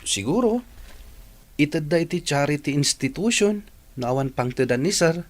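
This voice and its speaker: A man's voice: fairly high pitch, animated, moderate pace, close to an everyday voice. A man playing an earnest, respectful young working student in a radio drama. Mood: thoughtful.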